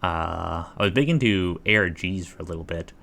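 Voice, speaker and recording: Male streamer, low-pitched, casual reaction commentary; halting, meandering, think-aloud delivery. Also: vocal fry, sharp tone. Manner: hesitant, rambling, thinking aloud. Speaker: livestreamer.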